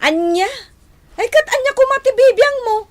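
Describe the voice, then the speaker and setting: An actress playing a cheeky, feisty young village woman in an Ilocano radio drama, sassy and quick to retort. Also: very high voice, expressive. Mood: scornful, feisty.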